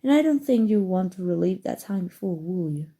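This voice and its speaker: Woman doing an evil sorceress asmr, real dark mellow voice, husky n breathy, calm but possessive, coaxing you. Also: fairly low pitch, brisk. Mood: grave, measured. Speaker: voice actor. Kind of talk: ASMR roleplay, scripted acting.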